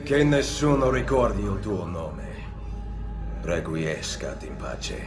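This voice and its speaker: A man's voice: deep voice, big pitch swings, takes it slowly, music in the background, exaggerated voice. An actor playing a stern, composed assassin in a video game, solemn as he passes judgment. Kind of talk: dramatic video game acting.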